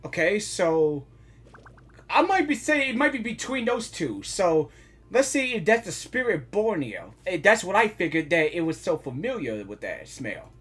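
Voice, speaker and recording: A woman giving casual live gaming reactions, excitable and repeating herself as she thinks aloud. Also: lowish voice, a little slow, husky.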